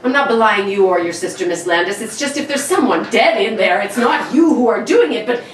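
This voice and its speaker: A woman playing a nervous, desperate man in an old-time radio suspense play, pleading and halting. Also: medium pitch, expressive, natural voice. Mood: haunted, urgent. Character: nervous, desperate, sleepless male neighbour obsessed with a corpse. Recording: echoey room from far off, faint noise.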